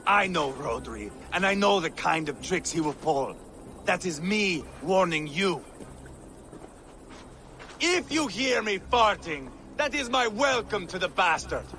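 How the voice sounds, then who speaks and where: An actor playing a brash, crude Viking chieftain in a game cutscene, mocking and hot-tempered. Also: male voice, high voice, big pitch swings, unhurried, bright, forceful tone, slight background noise, full character voice. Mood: scornful. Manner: mocking, crude, growling insults. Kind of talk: video game cutscene acting.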